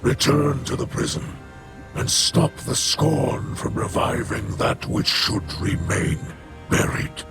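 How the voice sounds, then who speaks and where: An actor playing a grave, commanding alien warrior lord in a video game, speaking solemnly and formally in a gravelly voice. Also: male voice, mid-range voice, sing-song, slow, over loud music, full character voice. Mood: solemn, foreboding. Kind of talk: video game character acting.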